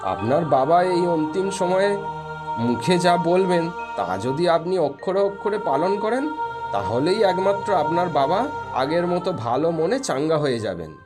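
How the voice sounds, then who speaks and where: Sly male cartoon doctor, pompous, emphatic, very swooping intonation, loud music underneath. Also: mid-range voice, big pitch swings, unhurried. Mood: solemn. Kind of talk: cartoon voice acting.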